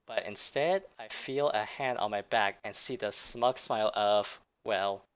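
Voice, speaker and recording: A woman reading a visual novel aloud in a game playthrough, voicing the inner narration with quick reactions. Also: a bass voice. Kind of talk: let's play narration.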